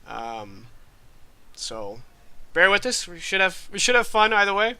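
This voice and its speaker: A man in his thirties with a nasal, congested voice, running a tabletop game as game master with relaxed, rambling asides. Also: high voice, measured pace, smooth. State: congested from allergies.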